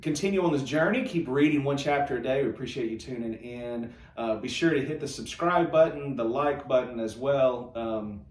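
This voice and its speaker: A man's voice: mid-range voice, normal speed, hardly any pauses. A man hosting a casual church Bible discussion, informal and folksy, rephrasing as he goes. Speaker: host of a church read-along show. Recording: echoey room, distant mic, faint noise.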